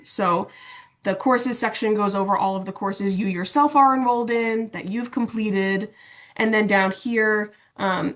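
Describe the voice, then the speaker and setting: A woman with a mid-range voice presents a software demonstration as an instructional designer. She explains each step informally and conversationally, as on a webinar video call. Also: mid-range voice.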